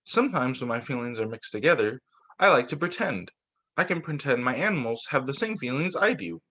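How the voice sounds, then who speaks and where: A man reading storybooks aloud as a teacher for young children, in an expressive way with the occasional stumble and re-read phrase. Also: mid-range voice, fairly quick.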